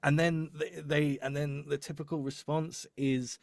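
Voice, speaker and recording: A man's voice: a little high, level tone, a little slow, creaky, dry recording, studio quality. A man speaking off the cuff as a demo producer giving advice, emphatic, repeating his points and often checking in with "right?". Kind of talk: live coaching talk, unscripted.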